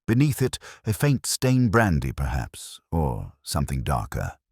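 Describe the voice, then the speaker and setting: A man playing a brooding police inspector who narrates a murder case in a measured, reflective, literary way. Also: very deep voice, wildly swooping pitch, a little mellow, husky, dry recording, clean studio sound, full character voice. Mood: quietly suspicious. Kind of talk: first-person scripted detective story narration.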